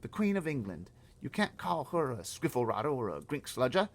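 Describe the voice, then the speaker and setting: A man doing a shrill indignant little girl. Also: very animated, brisk. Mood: pleading. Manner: shrill, pleading, loud.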